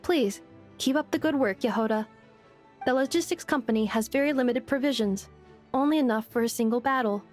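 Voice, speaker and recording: A woman voicing a calm, precise female robot messenger who speaks in formal, clipped reports. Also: on the high side, a little fast, soft background music, lightly acted. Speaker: game character.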